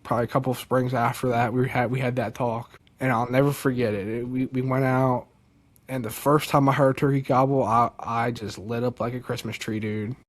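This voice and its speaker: A young man from Delaware, a podcast guest, telling a story casually and excitedly, with lots of "like" and "dude". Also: mid-range voice, a little fast. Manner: animated, many fillers like "like" and "dude".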